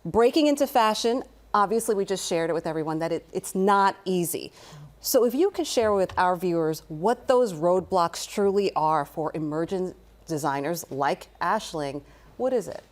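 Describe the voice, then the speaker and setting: A woman's voice: fairly low pitch, lively intonation, normal speed. Enthusiastic woman morning TV host. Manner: enthusiastic, gushing. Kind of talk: upbeat live TV interview.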